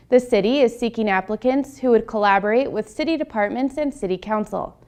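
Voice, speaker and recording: A woman news anchor reading a scripted city newscast in a clear, measured way. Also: fairly high pitch, a little mellow.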